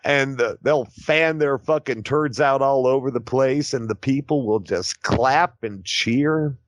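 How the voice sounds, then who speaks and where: A man's voice: mid-range voice, measured pace, no echo. A man co-hosting a podcast in casual comedic chat, with crude, wry riffing. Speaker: podcast co-host.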